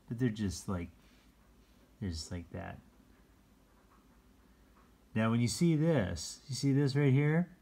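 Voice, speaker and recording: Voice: mid-range voice, darkish tone. A person reading a children's picture book aloud in an informal, chatty way, adding asides and explaining words simply to young listeners.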